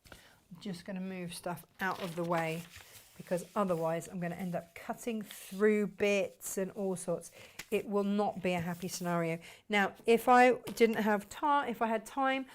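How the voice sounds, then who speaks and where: Craft host, woman, mid-pitched, slightly croaky, casual and self-deprecating as she works. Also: steady tone, moderate pace, slight background noise. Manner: chatty, self-deprecating, talks to viewers while working. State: croaky from hay fever. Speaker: sewing tutorial host.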